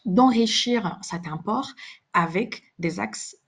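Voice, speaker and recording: A woman presenting a software webinar as a live explanatory demonstration, in a halting delivery with repeated words. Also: medium pitch, breathy edge.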